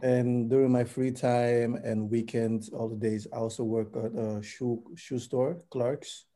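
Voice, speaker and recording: Interview guest: man, 20s, from Nigeria, native Yoruba speaker, very dark and relaxed tone, mid pitch. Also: measured pace. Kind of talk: casual chat.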